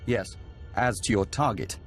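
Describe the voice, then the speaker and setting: A male actor plays a human diplomat bonded to an alien hive, speaking in a slightly low voice. He is formal, serene and unfailingly polite, in the measured style of a game cutscene. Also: soft background music, natural voice. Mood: serene, courteous. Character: human envoy joined to an alien hive mind, courteous and serene. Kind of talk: video game voice acting.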